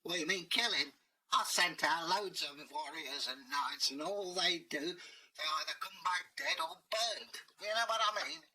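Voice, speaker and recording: A man's voice: unhurried, bright, forceful tone, husky, heavily acted, rough recording. A man voicing a weary, harried young village headman, complaining in a raised, exasperated voice. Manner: exasperated, complaining, higher-pitched.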